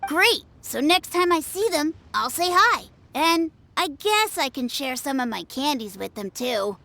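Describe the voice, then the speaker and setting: A woman's voice: high voice, sing-song, a little slow, pushed and bright, slight background noise, heavily acted. An actress voicing an eager, boastful little boy in a video game, childlike, bouncy and self-important. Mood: pleased, friendly. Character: eager, boastful little boy playing martial arts master. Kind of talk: scripted video game voice acting.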